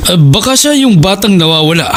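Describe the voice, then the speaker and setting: An actor playing a suspicious police investigator in an audio drama, questioning in a polite but firm, deliberate way. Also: male voice, high voice, expressive, fairly bright tone, a little hiss or hum. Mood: suspicious, probing. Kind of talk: Filipino audio crime drama acting.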